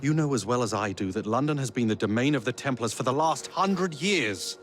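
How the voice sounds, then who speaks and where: An actor playing a stern, cautious senior assassin with a formal, admonishing manner in a video game. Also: male voice, mid-range voice, expressive, brisk, a little hiss or hum, close to an everyday voice. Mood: stern, dismissive. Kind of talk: video game cutscene acting.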